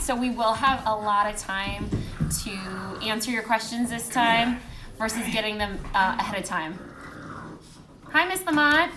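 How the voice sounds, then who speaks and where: A woman's voice: a little high, background noise. A woman hosting a casual live stream, chatting affectionately to her viewers. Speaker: host and children's book author. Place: indoors in a room, live-streamed. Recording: echoey room.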